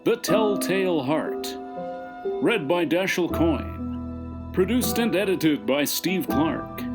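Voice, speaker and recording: A man's voice: a little high, big pitch swings, slow. A man reading scripted podcast end credits as an announcer. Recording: loud guitar music over the voice.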